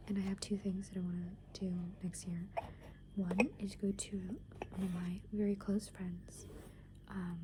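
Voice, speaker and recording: Woman, 20s, ASMR creator. Hushed whispered rambling, casual and meandering, with clear background noise. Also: medium pitch. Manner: casual, meandering, thinking aloud. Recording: clearly noisy background hiss and ambience.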